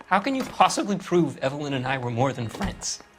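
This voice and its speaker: An actor playing a nervous, defensive young murder suspect in a video game, hesitant and pleading. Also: male voice, a little high, very animated, brisk. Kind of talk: dramatic video game acting.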